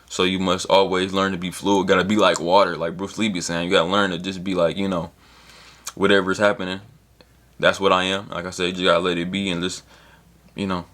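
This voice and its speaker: A man's voice: sits low, a bit bright. A man in his early twenties giving a loose, rambling motivational talk in slang, with casual swearing, as a solo vlogger. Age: 20s.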